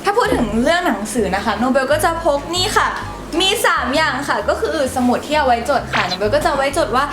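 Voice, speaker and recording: A woman's voice: sits high, lively intonation, quick pace. A young teenage schoolgirl co-hosting a student vlog, explaining things politely to viewers. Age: young teenager, secondary school student. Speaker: student co-host of a school channel. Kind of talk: scripted vlog presenting to camera. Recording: roomy echo, music behind. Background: background music.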